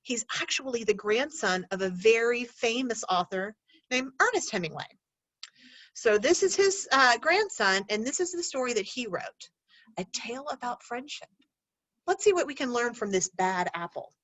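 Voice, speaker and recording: A woman teacher reading a picture book aloud to children, pausing to explain words and ask gentle questions. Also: a little high, expressive, no room sound.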